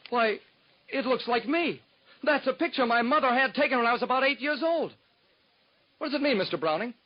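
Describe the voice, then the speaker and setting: An eager young job seeker in an old radio drama, high-voiced and pleading, earnest yet nervous. The sound is dull and lo-fi, like a 1940s broadcast transcription. His talk stays polite and anxious. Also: animated, fairly quick. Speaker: secretary.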